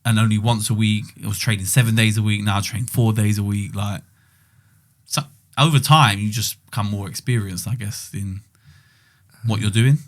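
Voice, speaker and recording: A man, co-hosting a podcast in relaxed, rambling, reflective chat. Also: on the low side, moderate pace. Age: 20s. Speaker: podcast co-host. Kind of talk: casual chat.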